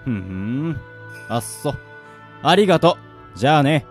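A man's voice: medium pitch, big pitch swings, a little slow, faint music behind. A man playing a skeptical, grumbling young man in a radio drama, speaking bluntly and casually. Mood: irritated, dismissive. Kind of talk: Japanese radio drama acting with monologue.

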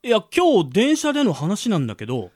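Man acting chatty, high-voiced, excitable talking train strap. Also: fairly quick, slightly rough, no echo, studio quality, exaggerated voice. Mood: eager, gossipy. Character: friendly chatty male train hand strap, excitable senior colleague. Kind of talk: comic amateur radio drama acting.

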